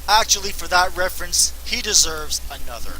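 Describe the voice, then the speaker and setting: A man's voice: sits high, lively intonation, normal speed, very noisy. A man answering fan questions in a casual, rambling, self-mocking way, laughing often. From Canada. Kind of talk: casual unscripted Q&A.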